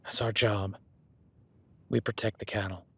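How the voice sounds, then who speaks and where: A man playing a calm cowboy rancher. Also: a little slow, gravelly, husky, exaggerated voice. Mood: firm. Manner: low-key, calm and instructive, often hushed. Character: rugged male cowboy rancher, steady and protective. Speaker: ranch hand tracking a beast. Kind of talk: listener-addressed audio roleplay.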